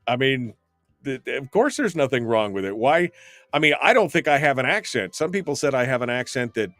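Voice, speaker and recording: Opinionated man hosting talk radio, mid-pitched, rambles with ums, dry studio sound. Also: animated, brisk, a bit bright, no echo, faint music behind. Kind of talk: casual radio talk, reading listener comments.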